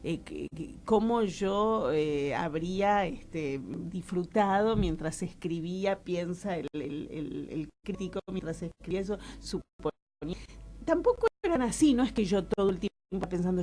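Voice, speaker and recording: A woman with an Argentine Spanish accent talking casually as an interview guest, reflective and expressive, often searching for her words. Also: fairly low pitch, moderate pace. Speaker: guest, actress and playwright.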